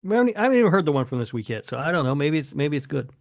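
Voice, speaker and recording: A man's voice: a little high, sing-song, racing, gravelly, no room sound. Easygoing male co-host, dry close sound. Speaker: podcast co-host. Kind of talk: casual chat.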